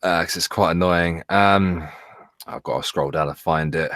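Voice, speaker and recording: A man co-hosting a casual chat, blunt and quick to exasperated outbursts. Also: deep voice, a bit bright, no room sound, studio quality. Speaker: podcast co-host.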